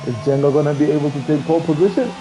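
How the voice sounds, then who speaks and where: A man giving live commentary on a sim racing stream, chatty and excitable, talking to viewers in the chat from a home mic. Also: on the high side, very fast, noisy background. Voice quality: says he has a loud voice.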